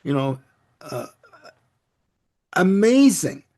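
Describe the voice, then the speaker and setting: A man's voice: sits high, very animated, drawn-out words, darkish tone. A man whose first language is Italian, talking enthusiastically in a casual album discussion and rambling with "you know" and "like". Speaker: music show panelist. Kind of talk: casual panel chat about albums.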